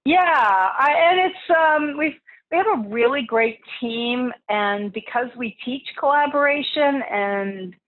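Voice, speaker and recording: A woman's voice: sits high, expressive, takes it slowly. A woman from Texas, a podcast guest who founded an institute, talking casually and chattily over the phone. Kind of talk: casual interview talk. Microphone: phone line. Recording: tinny phone line.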